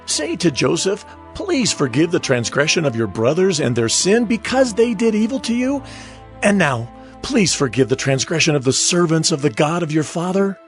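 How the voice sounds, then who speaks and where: A man performs a humble, pleading messenger from a biblical drama. The voice is high and very animated, theatrical in its begging. Faint music plays behind. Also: moderate pace. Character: messenger begging forgiveness for guilty biblical brothers. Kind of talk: dramatized scripture reading.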